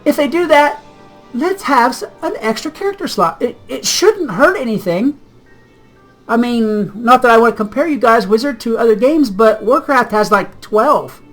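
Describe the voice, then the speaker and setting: A woman hosting a casual gaming vlog, slightly hoarse as if getting sick, chatty and rambling and easily sidetracked. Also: fairly high pitch, lively intonation, moderate pace, faint music behind, low-quality recording. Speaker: gaming channel host.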